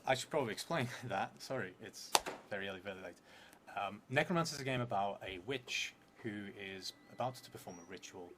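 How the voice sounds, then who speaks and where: A man's voice: on the low side, fairly bright tone. A man in his thirties thinking aloud in a casual, hesitant way as he explains a solo tabletop game on a live stream. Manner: hesitant, halting. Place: indoor theatre room, seated at a table. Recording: clearly noisy live stream room.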